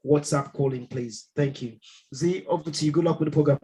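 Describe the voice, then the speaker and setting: Halting male radio presenter. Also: fairly high pitch, dark tone, low-quality recording. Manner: halting, full of ums. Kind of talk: on-air announcement.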